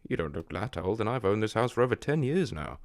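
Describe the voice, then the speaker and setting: A man plays a guarded homeowner in his thirties for an audio drama. His pitch moves around a lot as he fires off clipped, suspicious questions. The sound is dry and close. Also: very animated, fast talker, no echo. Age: 30s.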